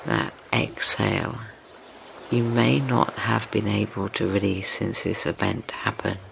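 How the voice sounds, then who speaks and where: A man speaks very slowly in a low voice thick with vocal fry. He guides a meditation gently and soothingly, leaving long pauses. Ocean waves wash steadily behind him. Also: fairly even intonation, slight background noise. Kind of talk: slow guided meditation, yoga nidra. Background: ocean wave sounds.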